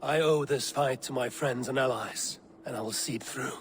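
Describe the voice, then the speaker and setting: A man's voice: mid-range voice, moderate pace, slight background noise, close to an everyday voice. Man playing a grave, resolute Viking warrior. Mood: sombre, determined. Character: battle-hardened Viking warrior leader, sombre and resolute. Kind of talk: video game dialogue acting.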